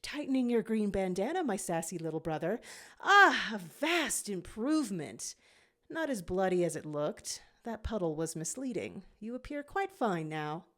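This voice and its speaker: Woman voicing a gleeful, animated jackal big brother. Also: mid-range voice, moderate pace. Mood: teasing. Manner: playful, teasing, doting. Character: gleeful, affectionate male jackal big brother and pack boss.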